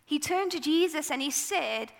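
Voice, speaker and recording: A woman with a South African accent preaching a sermon into a microphone, telling the story in a relaxed, conversational way. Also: high-pitched, normal speed, vocal fry, in a large hall.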